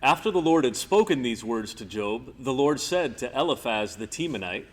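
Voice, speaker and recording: A man's voice: medium pitch, lively intonation, normal speed. A man narrating scripture as a scripted storyteller in a drama. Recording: reverberant hall sound with music behind. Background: clear instrumental music.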